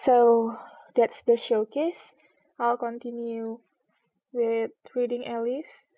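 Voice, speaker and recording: A woman streamer with a high voice and little rise or fall in it. Her delivery is casual, suited to chatting or reading a story aloud. The recording sounds a bit dull and muffled. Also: level tone, takes it slowly, dry recording.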